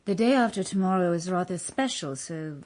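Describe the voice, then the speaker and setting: An actress playing a practical, protective young companion in an audio drama, brisk and dry with a husky voice. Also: female voice, lowish voice, lively intonation, a little mellow, close to an everyday voice. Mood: matter-of-fact.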